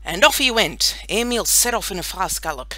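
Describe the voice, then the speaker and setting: A woman narrating a children's audiobook in an expressive storytelling style. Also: mid-range voice, normal speed, dry recording.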